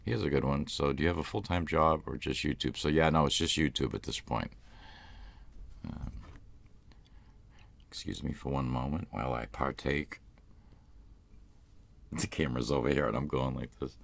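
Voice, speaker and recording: Very deep gravelly Boston man. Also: fairly even intonation, moderate pace, pauses often, no room sound. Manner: rambling, loose, swears casually. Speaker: ASMR channel host. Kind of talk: casual live-stream chat.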